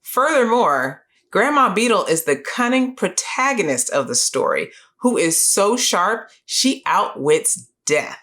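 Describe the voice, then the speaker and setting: A middle-aged woman hosting a book series, giving scripted educational narration in a clear, measured, explanatory way. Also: mid-range voice, very animated, a bit bright. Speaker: host of a children's book review series.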